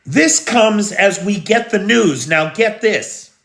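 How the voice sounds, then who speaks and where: A man's voice: high-pitched, animated, slow pace, gravelly, fairly bright tone, reverberant. An American man hosting a live news stream, talking unhurriedly and answering viewers' comments. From United States. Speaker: news livestream host. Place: room in Kyiv during air alert.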